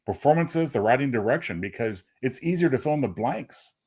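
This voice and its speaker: A man's voice: mid-range voice, expressive, fairly quick, a little mellow, slightly rough. A man co-hosting a podcast, talking in a casual, analytical way. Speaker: podcast co-host.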